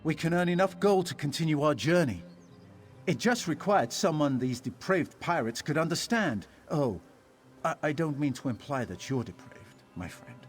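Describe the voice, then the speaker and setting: A man's voice: a little high, expressive, moderate pace, lightly acted. A man voicing a genteel, slightly pompous traveller in a video game, with formal, wordy, apologetic speech. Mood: surprised, pleased. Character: genteel, fussy, slightly pompous male traveller seeking honest work. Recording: music behind the voice.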